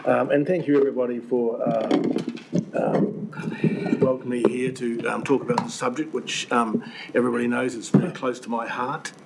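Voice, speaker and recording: Croaky older New Zealand man, indignant. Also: medium pitch, fairly even intonation, normal speed. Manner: earnest and indignant, many ums and restarts. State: croaky from recent flu. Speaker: presenter addressing a council committee. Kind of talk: public submission to a committee. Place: council meeting room. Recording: webcast with faint room noise.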